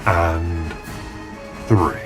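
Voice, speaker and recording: A man's voice: low-pitched, steady tone, very slow, over loud music. A man playing a cold, imperious, scheming supervillain who makes pompous, theatrical pronouncements in a superhero drama. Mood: cold, ominous. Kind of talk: scripted superhero audio drama.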